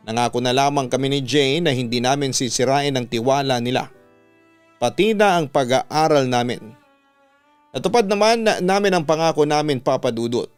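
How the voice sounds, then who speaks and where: A Filipino man reading a listener's letter as a radio storyteller, in a measured, sentimental way with a smooth, resonant voice. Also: mid-range voice. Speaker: radio announcer and story narrator. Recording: music bed under the voice. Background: background music.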